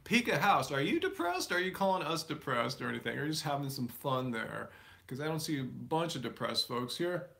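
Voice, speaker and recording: A man's voice: fairly high pitch, sing-song, brisk, gravelly, pushed and bright. A man hosting a live stream, answering viewer questions in a casual, rambling way with many fillers and restarts. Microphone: live stream setup.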